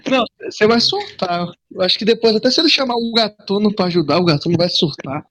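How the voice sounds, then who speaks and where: A man's voice: sits high, lively intonation, fast talker, fairly bright tone, no echo. A man with a Brazilian accent joking in a casual group voice call, talking in slangy exclamations. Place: online voice call.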